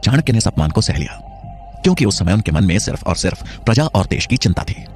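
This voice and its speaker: A man's voice: lowish voice, sing-song, quick pace, darkish tone, soft background music. A man narrating a scripted historical story in a dramatic storytelling style.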